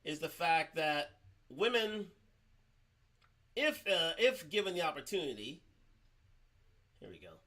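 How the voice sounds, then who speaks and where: Bright-voiced halting male stream host. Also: on the high side, big pitch swings. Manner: halting, many restarts and fillers. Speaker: livestream host. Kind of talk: casual commentary over played clips.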